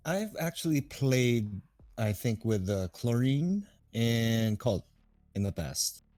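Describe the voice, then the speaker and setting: Relaxed male co-caster, dry sound. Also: medium pitch, takes it slowly, no echo, soft background music. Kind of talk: casual live-stream casting.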